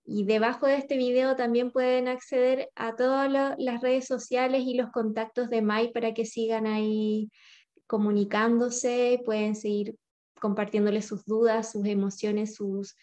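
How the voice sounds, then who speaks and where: A woman in her thirties hosting an interview over a video call, speaking slowly with halting, repeated words. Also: fairly high pitch, warm tone. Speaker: interviewer host. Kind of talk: interview conversation. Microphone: video call webcam. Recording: slightly dull video call audio.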